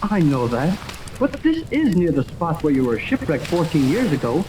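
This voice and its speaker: A woman playing an eager, excitable boy sidekick in a 1930s radio adventure serial, with earnest exclamations. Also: deep voice, normal speed. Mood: eager, insistent. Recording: noisy old 1930s radio recording.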